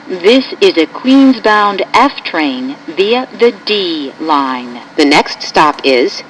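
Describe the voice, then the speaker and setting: An American woman reading scripted, automated train announcements in a formal, measured manner, with clearly segmented phrases. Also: fairly low pitch, sing-song. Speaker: recorded subway train announcer. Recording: noisy capture from train loudspeaker. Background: subway train ambience.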